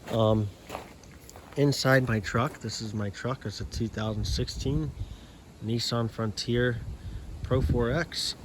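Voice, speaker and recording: American male, hobbyist walkthrough, unhurried and halting delivery, casual and unscripted, slightly noisy home-video sound. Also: mid-range voice. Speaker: amateur radio hobbyist giving a setup tour.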